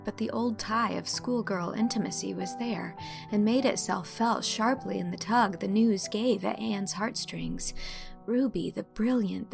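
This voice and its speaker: A woman reading literary fiction as an audiobook narrator, in an expressive scripted storytelling style. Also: mid-range voice, vocal fry.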